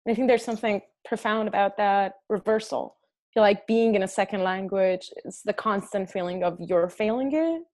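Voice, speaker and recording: A woman's voice: medium pitch, moderate pace, darkish tone. Thoughtful woman host. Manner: thoughtful, attentive. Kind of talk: casual panel conversation. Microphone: video call.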